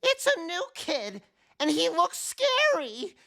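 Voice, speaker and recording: Storybook school fish: fussy, scolding, high-pitched, wildly swooping, drawn out. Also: male voice, huge pitch swings, vocal fry, sharp tone, dry recording, studio quality, exaggerated voice. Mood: alarmed. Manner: exaggerated, drawn-out exclaiming. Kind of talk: children's storybook read aloud.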